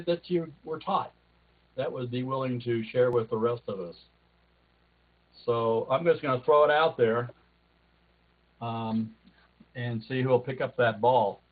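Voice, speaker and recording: A man hosting a club meeting over a video call, who jokes and rambles as he talks. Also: medium pitch. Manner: joking, rambling. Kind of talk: casual video call chat. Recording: tinny call audio.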